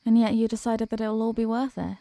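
Woman playing a tender vampire girlfriend, soft and mellow. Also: on the high side, little pitch movement, no echo, close to an everyday voice. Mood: grateful, loving. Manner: soft, slow, formal, caressing delivery. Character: tender, devoted centuries-old vampire girlfriend, soothing and protective. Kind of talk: intimate ASMR roleplay. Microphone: close microphone.

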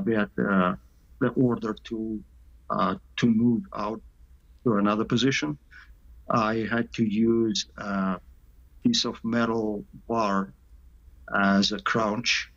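A man from Canada answering interview questions over a video call, halting, with many pauses and filler sounds. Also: on the low side. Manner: halting, many "uh" pauses, slight non-native grammar. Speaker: sniper interviewed as guest. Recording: dull lo-fi call audio.

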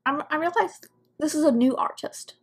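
A woman's voice: sits high, moderate pace. A woman giving casual, off-the-cuff commentary as host of a reaction video.

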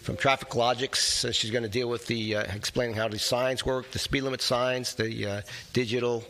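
An older man on a resident committee speaking informally at a stand microphone in a community meeting room, pausing often with fillers. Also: mid-range voice, little pitch movement, fairly bright tone. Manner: halting, with many uh fillers. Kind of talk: informal remarks at a board meeting.

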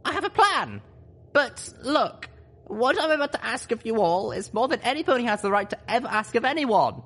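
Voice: medium pitch, sing-song, moderate pace, fairly bright tone, husky, voice pushed up, exaggerated voice. A narrator voicing an earnest, determined young female pony leader, speaking solemnly and urgently in a story reading. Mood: earnest, pleading. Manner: solemn, urgent speech-making.

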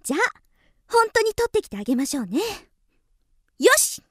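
A woman's voice: sits high, sing-song, a little fast, no room sound, clean studio sound. An actress playing a plucky young country maid in a radio drama, polite, girlish and confident. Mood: bold, confident.

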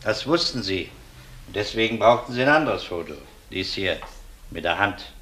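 Middle-aged detective, deep, forceful, methodical, probing, polite, crime radio play. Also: male voice, animated. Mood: accusing. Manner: measured, probing, outwardly courteous. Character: shrewd, methodical male police detective.

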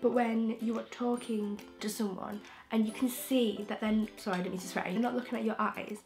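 A young woman from England, croaky from a throat infection, chatting frankly and rambling with restarts as a beauty vlogger in her bedroom. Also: fairly high pitch, steady tone, brisk, a little mellow, soft background music. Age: young adult. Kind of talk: casual chatty vlog. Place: bedroom, indoors. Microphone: camera in front, close framing.

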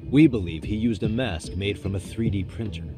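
A man's voice: on the low side, music in the background, lightly acted. An actor playing a composed, persistent defense lawyer in his thirties, speaking formally in a courtroom drama game. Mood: assured. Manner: measured, formal, insistent.

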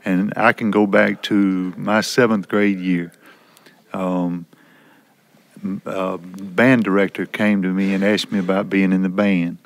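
A man's voice: low voice, level tone, measured pace, no room sound. A man telling a personal story in a conversational group discussion. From Alabama, United States. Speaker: prison poetry student.

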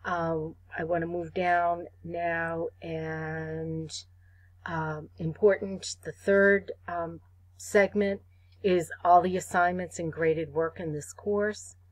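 A woman instructor talking students through an online course site, measured and full of ums and uhs. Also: fairly low pitch, flat delivery, no echo. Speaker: college instructor. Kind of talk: screen-recorded course site walkthrough.

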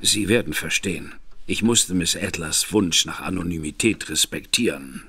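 An actor playing a reserved, earnest gentleman who speaks in a measured, formal way. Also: sits low, slightly rough, full character voice. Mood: grave, earnest. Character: grave, guarded detective's brother, reserved gentleman. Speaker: Mycroft Holmes. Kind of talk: radio play acting.

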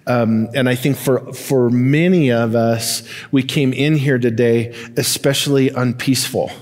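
A man's voice: medium pitch, fairly even intonation, a little slow, a little mellow. A middle-aged American man preaching conversationally to a congregation as a pastor, informal, with joking asides and stop-and-start phrasing. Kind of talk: conversational sermon and announcements to a congregation. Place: large church auditorium stage, indoors. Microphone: headset microphone. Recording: long hall reverb from church auditorium.

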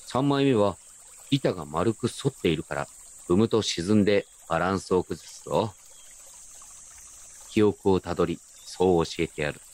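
A man's voice: sits low, moderate pace, pauses often, darkish tone. A man playing a wry, nostalgic grown grandson, telling his story casually and reflectively in a radio drama. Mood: knowing. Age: adult. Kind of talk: first-person radio drama narration and dialogue.

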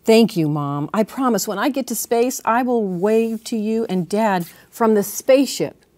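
An American woman voicing an earnest, expressive young girl in a children's storybook. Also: fairly high pitch, measured pace, no echo, pitched above the performer's own voice, natural voice. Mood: grateful. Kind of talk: storybook character reading.

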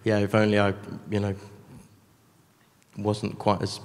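Low-voiced man, modest and reflective. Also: completely flat delivery, measured pace. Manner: modest, reflective, a bit hesitant. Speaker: panel guest actor. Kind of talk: panel conversation. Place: large theater stage. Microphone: handheld mic. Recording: reverberant hall with noise.

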